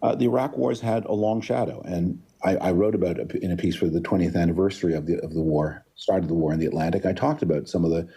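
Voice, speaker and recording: A man with a low, very dark and husky voice gives a radio interview. He is a political writer who speaks hesitantly and corrects himself. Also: fast talker, hardly any pauses.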